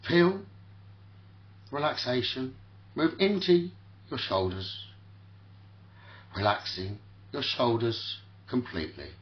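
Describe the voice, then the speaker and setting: A man leading a guided sleep meditation as host, speaking soothingly in short, repeated phrases with long pauses, on a muffled lo-fi recording. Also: medium pitch. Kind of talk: guided sleep meditation, spoken relaxation talkdown.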